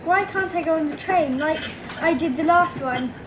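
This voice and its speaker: A small, restless girl, voiced by a woman in a radio play. The voice is very high, plaintive and insistent, with clear train noise on the recording. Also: normal speed, close to an everyday voice. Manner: whiny, insistent.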